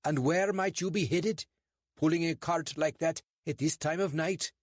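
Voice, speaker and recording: A man voicing a portly, suspicious English constable who questions in a sharp, demanding way. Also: on the high side, moderate pace, dry recording, pitched above the performer's own voice, lightly acted.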